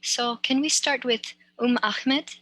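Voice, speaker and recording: Bright-voiced relaxed woman moderator. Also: high-pitched, takes it slowly, no echo, poor audio. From New York. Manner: relaxed, friendly, light fillers. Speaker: adult education instructor and moderator. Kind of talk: casual moderated discussion.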